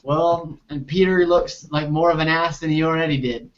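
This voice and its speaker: Higher-pitched young man hosting, slightly muffled. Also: steady tone, normal speed. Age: 20s. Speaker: podcast host. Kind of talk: casual group recap chat. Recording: muffled video call audio.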